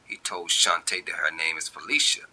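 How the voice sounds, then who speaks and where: A man's voice: deep voice, normal speed, bright, forceful tone. A man narrating his own short story as an amateur reader.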